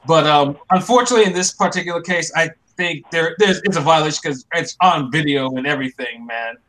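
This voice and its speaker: A man in a casual podcast chat who talks haltingly, restarting his sentences and joking around. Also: on the high side, a bit bright, poor audio. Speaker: podcast co-host.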